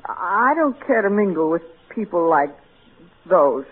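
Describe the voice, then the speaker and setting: A man's voice: high-pitched, sing-song, unhurried, slightly rough, full character voice. An actor playing a snooty, precocious rich boy with prim, formal diction in old-time radio style. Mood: disdainful. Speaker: actor in a radio comedy-drama. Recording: narrow tinny vintage radio broadcast.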